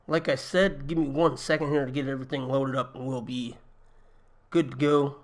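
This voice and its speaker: A young man with a slightly raspy voice from a cold, chatting casually through a gaming livestream from his apartment, thinking aloud with ums and uhs. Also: mid-range voice, flat delivery, moderate pace. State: getting over a cold, a little raspy. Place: home apartment.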